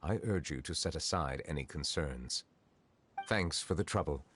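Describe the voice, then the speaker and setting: An actor playing a formal, measured chief justice in a game, speaking with polite precision. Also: male voice, extremely low pitch, a bit bright, husky, exaggerated voice. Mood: courteous. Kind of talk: game voice acting. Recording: game audio with music.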